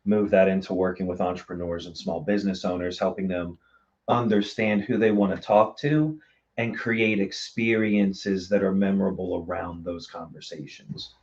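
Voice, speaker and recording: A man with a low voice talks casually as a guest on a show. He sounds reflective and appreciative, and the recording is slightly dull and lo-fi. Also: darkish tone. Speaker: guest, theater marketing director. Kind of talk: casual interview talk.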